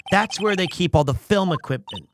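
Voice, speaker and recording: A man's voice: sits high, lively intonation, normal speed, background noise. A man voicing a dry-witted, easily exasperated young male defense lawyer, reading video game dialogue and inner monologue in a matter-of-fact way. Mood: observant.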